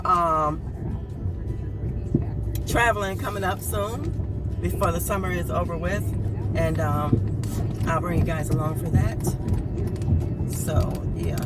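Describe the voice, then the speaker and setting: A woman's voice: fairly low pitch, recorded from a distance. A woman chatting casually to her viewers from her car, stuffy and congested from a cold, with a chatty, rambling manner full of ums and y'alls. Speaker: vlogger. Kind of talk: casual chat to camera. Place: in a car while driving. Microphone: phone camera.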